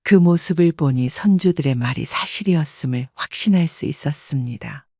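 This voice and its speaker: A woman narrating a scripted first-person family story in a calm, reflective tone. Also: low voice, normal speed, no echo, clean studio sound.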